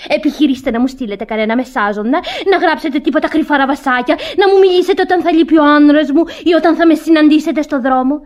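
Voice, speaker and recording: An actress playing a haughty, scheming young wife who feigns injured innocence, indignant and theatrical in classic radio comedy style. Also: female voice, high voice, hardly any pauses, a little mellow, natural voice. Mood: haughty, challenging.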